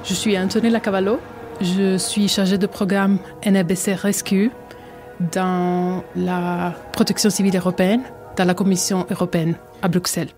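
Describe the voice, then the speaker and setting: A woman from southern Italy with an Italian accent, answering interview questions carefully and pausing to find her words in French. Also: on the low side, little pitch movement, a little mellow, soft background music. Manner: careful, searching for words, many fillers. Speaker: EU civil protection program officer.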